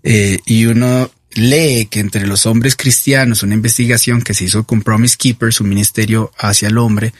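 A man's voice: fairly low pitch, steady tone, normal speed, few pauses, no room sound, studio quality. A man, hosting a conversational religious interview. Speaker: interviewer, host.

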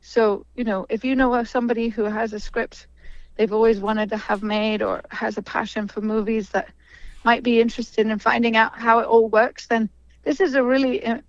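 A woman's voice: fairly high pitch, no echo, muffled recording. A woman guest on a radio talk show, chatty and hesitant, with many fillers as she explains a festival. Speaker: guest, film festival organizer. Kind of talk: casual radio interview.

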